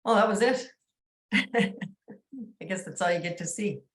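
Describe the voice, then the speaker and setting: A woman's voice: medium pitch, measured pace. A woman casually explaining things as she hosts a video call. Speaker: online meeting host. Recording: roomy, echoing video call sound.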